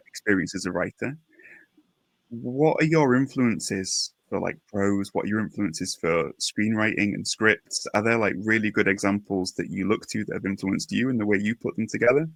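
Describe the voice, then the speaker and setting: A man's voice: on the low side, creaky, a bit bright, dry recording. A man hosting a casual podcast interview, speaking effusively and full of compliments. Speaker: podcast host.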